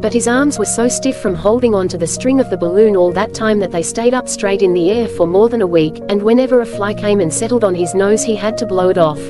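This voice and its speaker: A woman reading scripted storybook narration as host, with measured, clear phrasing. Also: medium pitch, music in the background.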